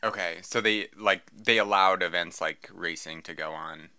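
A male reporter asking interview questions in a quick, friendly way. His voice is bright and crisp, recorded dry and close, with a slightly low pitch. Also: no echo.

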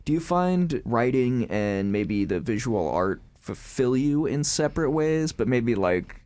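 Brief: relaxed male host, middle pitch, loose rambling questions, studio. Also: measured pace. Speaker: interview host. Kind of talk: casual interview. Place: sound studio.

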